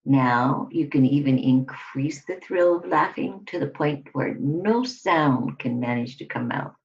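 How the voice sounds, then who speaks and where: A woman giving a scripted guided relaxation exercise, instructing deliberately in short phrases with pauses between them. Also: very deep voice. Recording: dull lo-fi recording.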